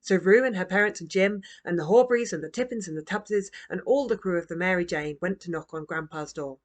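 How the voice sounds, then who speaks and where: A woman narrating a children's storybook as its author, in a gentle, expressive manner. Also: on the low side, fairly quick. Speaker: author reading own book.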